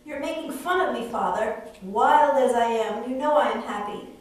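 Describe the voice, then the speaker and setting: A woman plays a tender-hearted young princess raised wild on an island. The voice is high, earnest and lyrical in puppet-theatre style. It is heard from a distance with heavy echo. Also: moderate pace, lightly acted. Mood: tender, sorrowful. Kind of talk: stage puppet-play acting. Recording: very echoey room, distant microphone.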